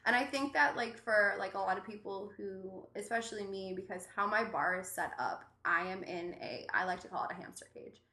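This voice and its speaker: A woman's voice: mid-range voice, fairly quick, hardly any pauses. A woman bartender from Cleveland with a Cleveland accent, chatting casually on a podcast, filling her talk with "like". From Cleveland, Ohio. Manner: chatty, many likes, animated. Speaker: bartender guest. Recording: roomy echo.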